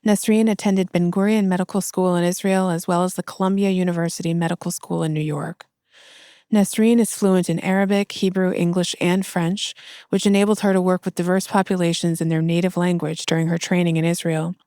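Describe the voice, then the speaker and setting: A woman hosting a podcast, reading a formal introduction and asking interview questions in a measured way. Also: lowish voice, steady tone, mellow, clear, resonant tone, no echo, clean studio sound. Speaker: podcast host.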